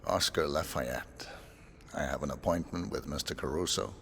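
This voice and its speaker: A man playing a cool, controlled assassin posing as a doctor, speaking in a calm, terse, measured way. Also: extremely low pitch, gravelly, soft background music, full character voice.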